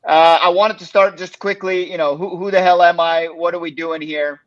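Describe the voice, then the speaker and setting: A man, casually presenting a pitching workshop on a live stream, with plenty of "uh" and "you know.". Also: normal speed, lo-fi sound, slight background noise. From Los Angeles, United States. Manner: relaxed and conversational, with frequent "uh" and "you know".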